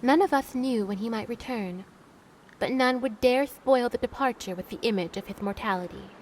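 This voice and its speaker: A woman playing a bitter, adventurous young seafarer in an audio drama, recounting her youth in deliberate, theatrical storytelling. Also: on the high side, a little hiss or hum, close to an everyday voice, low-quality recording. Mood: wistful, elated. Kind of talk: scripted dramatic monologue narration.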